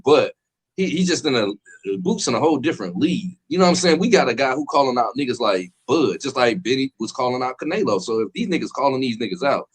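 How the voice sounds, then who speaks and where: A man's voice: mid-range voice, a bit bright, breathy edge, low-quality recording. A man co-hosting a casual boxing chat, rambling in a slangy way and constantly checking in with his listeners. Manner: rambling, slangy, fills with "you know what I'm saying". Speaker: podcast co-host.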